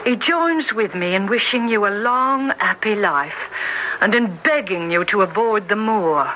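Ominous, austere housekeeper, bright-voiced. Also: female voice, mid-range voice, expressive, measured pace. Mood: solemn, foreboding. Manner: solemn, formal, ominous. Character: austere, foreboding female caretaker's wife. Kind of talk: gothic radio drama acting. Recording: noisy vintage radio recording.

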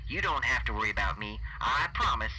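Bright, brassy radio-voiced hustler. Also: male voice, medium pitch, expressive, a little fast, slight background noise, poor audio. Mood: wry, knowing. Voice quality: buzzy radio-like voice. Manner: folksy, slangy sales patter. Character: brash fast-talking bicycle thief alien with radio voice. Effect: radio filter.

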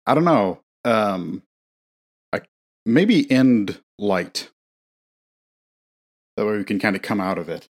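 Male podcast co-host, low voice, laid-back and thoughtful. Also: lively intonation, slow, pauses often. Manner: easygoing, thoughtful, laughs often. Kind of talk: casual movie podcast chat.

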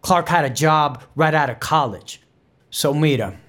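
A fiery, proud Bronx bandleader of Puerto Rican roots, tells his story in a theatrical monologue. His voice sits a little high, with a New York Puerto Rican accent. He moves between English and Spanish with passion. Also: a little slow, a bit bright. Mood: boastful, playful. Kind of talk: dramatic theatrical monologue for audio drama.

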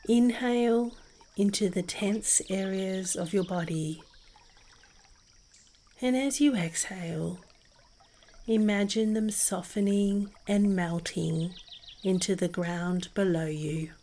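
A woman narrating a guided meditation in a soothing, gentle and unhurried manner. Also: mid-range voice, slight background noise. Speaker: meditation podcast host and guide. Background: music behind the voice.

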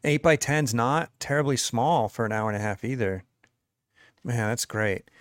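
A man from New York hosting an art podcast, speaking in a measured, appreciative way with hesitant restarts. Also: mid-range voice, no echo, studio quality. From New York, USA. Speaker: podcast host. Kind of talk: casual interview and show outro.

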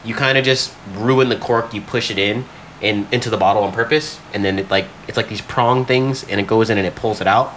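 A man's voice: fairly low pitch, fairly quick, a bit bright, slight background noise. A man telling stories in casual podcast banter, slangy and profane, often acting out what people said. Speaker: podcast co-host.

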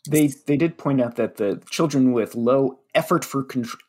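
A man's voice: medium pitch, moderate pace, a little mellow. A man with a Pennsylvania Dutch accent, a media relations director chatting casually about research with fillers and light self-deprecating jokes. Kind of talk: casual podcast chat.

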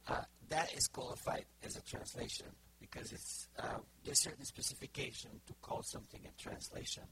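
A middle-aged man from Bulgaria with a Bulgarian accent, giving an informal sermon to a congregation, pausing often with fillers and restarts. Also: pushed and bright, slight background noise, low-quality recording. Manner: halting, many fillers and restarts. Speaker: preacher.

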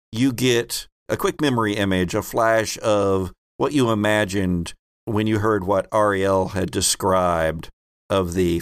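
Guy running a tabletop rpg, narrating scenes off the cuff, smooth low-ish voice. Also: no room sound, clean studio sound. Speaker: game master and host. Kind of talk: improvised tabletop game narration.

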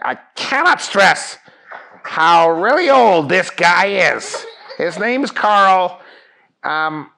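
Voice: medium pitch, fairly bright tone, voice pushed up. A storyteller voicing an eager, flustered policeman who rambles, hesitates and exclaims. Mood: apologetic. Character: flustered, earnest, eager policeman named Jerry.

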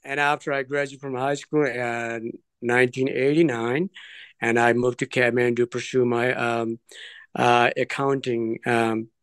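A man's voice: mid-range voice, level tone, measured pace, a bit bright, smooth, no echo. A man, telling his life story as an interview guest in an earnest, digressive, hesitant way. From Nepal. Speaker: trekking guest.

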